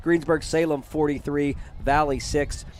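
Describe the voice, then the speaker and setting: Man, play-by-play announcer, chatty, energetic, hoarse from losing his voice, press box, outdoor stadium. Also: a little high. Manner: chatty, energetic, reads scores quickly. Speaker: play-by-play broadcaster. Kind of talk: postgame sports commentary.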